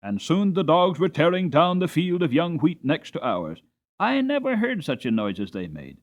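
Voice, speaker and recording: A man narrating a storybook dramatically, with expressive, deliberate phrasing. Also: fairly high pitch. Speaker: audiobook narrator.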